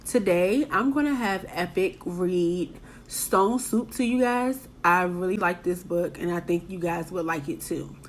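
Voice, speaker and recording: A woman's voice: lowish voice, a little slow. Upbeat teacher greeting her class. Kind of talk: cheerful greeting to students.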